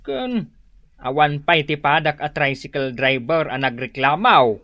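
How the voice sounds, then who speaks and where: Actor doing an indignant older tricycle guy, ilocano radio drama, dry studio sound, pitch jumps around. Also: animated, measured pace, no echo, highs cut off. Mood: indignant protest. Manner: protesting, emphatic. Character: indignant older male tricycle driver, Tata Marciano.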